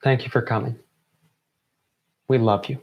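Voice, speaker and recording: A man delivering a prepared closing address in deliberate phrases broken by pauses. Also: mid-range voice, fairly even intonation, muffled recording. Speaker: member of a student theatre group giving closing remarks.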